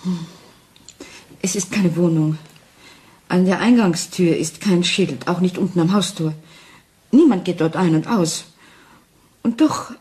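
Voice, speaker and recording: An actress playing a frightened wife who doubts her sanity, speaking anxiously and haltingly in a German crime radio play. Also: fairly low pitch, dark tone, close to an everyday voice. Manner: anxious, halting, intense, dropping into whispers.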